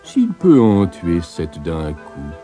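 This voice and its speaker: A man voicing a scheming, fearful king in a storybook reading, talking slowly and slyly. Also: low-pitched, wildly swooping pitch, dark tone, faint music behind, voice pushed down, heavily acted. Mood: musing. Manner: slow, musing, sly.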